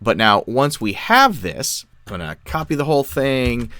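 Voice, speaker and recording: A man explains software step by step in a tutorial style. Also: medium pitch, very animated, normal speed, smooth. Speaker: tutorial presenter. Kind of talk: software tutorial, explanatory screen-share narration.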